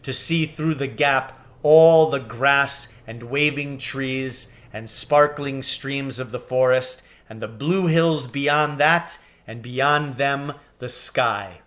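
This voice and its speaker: A man's voice: mid-range voice, slow pace, hardly any pauses, a bit bright. A man narrating a children's storybook as a scripted read-aloud. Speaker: storybook reader.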